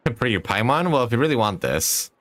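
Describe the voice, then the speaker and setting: A man's voice: mid-range voice, big pitch swings, brisk, background noise. A man casually commentating on his live gameplay in a jokey, sweary way. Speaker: game streamer.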